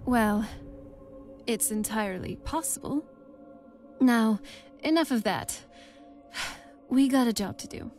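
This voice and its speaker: A woman's voice: fairly high pitch, expressive, slow pace, pauses often, a little mellow. Wry vampire noblewoman, music behind. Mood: playful, coy. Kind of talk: video game character dialogue. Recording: game audio with background music.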